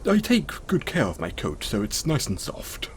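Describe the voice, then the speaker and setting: A man playing a gentle, caring, self-conscious centaur in an intimate audio roleplay, speaking in a soothing, hesitant, apologetic way. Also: mid-range voice, wildly swooping pitch, moderate pace, a little hiss or hum. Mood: kind, reassuring.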